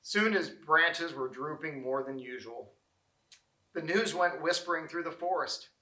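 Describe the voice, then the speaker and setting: Male storybook narrator, bright and fairly high voice, expressive children's read-aloud, in an echoey room. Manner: expressive, deliberate phrasing. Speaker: storybook reader.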